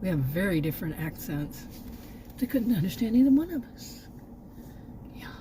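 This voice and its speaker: A woman's voice: mid-range voice, sing-song, normal speed, mellow, slight background noise. A woman from Texas with a Texan accent, talking in a rambling, chatty way full of fillers and self-corrections as a channel host sitting outside near woods. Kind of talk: casual vlog monologue. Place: outdoors near woods and a park.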